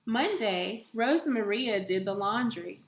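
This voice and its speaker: A woman reads a picture book aloud in an echoey room. She takes it very slowly, with deliberate and expressive phrasing meant for young children. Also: a little high. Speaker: elementary school teacher reading aloud.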